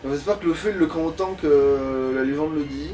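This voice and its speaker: High-voiced man gaming, crude and casual. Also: brisk, mellow, far from the mic, soft background music. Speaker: let's play gamer. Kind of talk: casual gameplay chat, crude slang.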